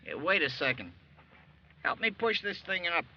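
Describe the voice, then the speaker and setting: An actor playing an eager, curious young American soldier in excitable, folksy 1940s radio drama style. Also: male voice, high-pitched, normal speed, full character voice. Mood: urgent effort. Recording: muffled, noisy vintage radio broadcast recording.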